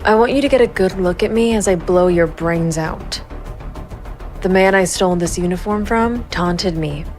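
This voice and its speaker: Woman acting a low-voiced, grim female vigilante. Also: moderate pace, a little mellow, faint music behind, close to an everyday voice. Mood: grim, resolute. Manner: controlled, stern, resolute. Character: grim vigilante woman private investigator, cold and composed. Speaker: narrator and lead character. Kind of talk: gritty noir crime drama narration.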